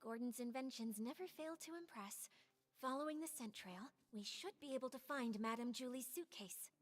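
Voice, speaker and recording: A woman's voice: a little high, moderate pace, in a large hall, lightly acted. An actress playing an earnest, polite young female adventurer in a fantasy video game. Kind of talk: scripted video game voice acting.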